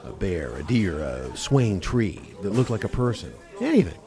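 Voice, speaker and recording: A brash, wisecracking skeptic of a best friend played by a man. His lowish voice leaps around wildly as he jokes and cajoles in a radio play, faint music behind. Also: sing-song, normal speed, warm tone. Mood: skeptical, dismissive. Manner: joking, cajoling, colloquial.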